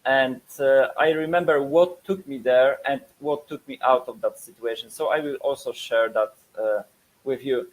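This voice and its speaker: Polish guy doing a webinar, lots of ums and restarts, normal pitch. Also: moderate pace, poor audio. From Poland. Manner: hesitant, many fillers and repeated words. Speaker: webinar presenter. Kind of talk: webinar presentation.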